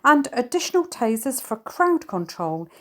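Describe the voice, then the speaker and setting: A woman acts out a village policewoman in a comic audio play. She is earnest and officious, with formal bureaucratic phrasing. The voice sits a little high and swings in pitch. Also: big pitch swings, normal speed. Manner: formal bureaucratic report-speak. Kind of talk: amateur audio play comedy acting.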